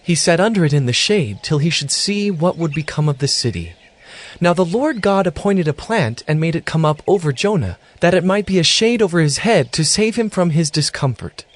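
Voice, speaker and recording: Lively, higher-pitched male scripture narrator. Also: moderate pace.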